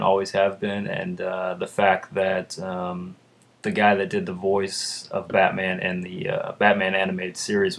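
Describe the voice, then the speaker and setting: A young man with a deep voice, chatting casually in a vlog and rambling with lots of fillers. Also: gravelly. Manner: rambling, lots of uhs and ums. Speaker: vlogger. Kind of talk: casual chat.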